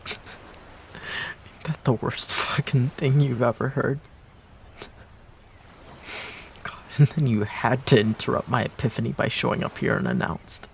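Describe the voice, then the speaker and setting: A man acting a heartbroken ex-boyfriend in an intimate romantic audio roleplay, pleading in a halting, breathy, emotional way. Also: medium pitch, slight background noise, close to an everyday voice. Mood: aching, hushed.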